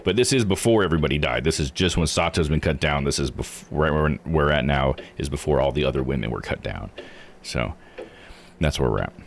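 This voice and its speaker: A man's voice: a bass voice, animated, a little fast, creaky, a little hiss or hum. A man giving casual, rambling live commentary on a game, explaining and thinking aloud as he plays. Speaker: streamer playing and recapping a visual novel.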